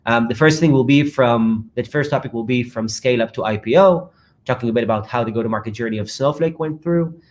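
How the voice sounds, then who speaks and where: A man moderating a live online fireside chat, upbeat and quick through long sentences on a video call. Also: medium pitch, darkish tone. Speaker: moderator and startup founder.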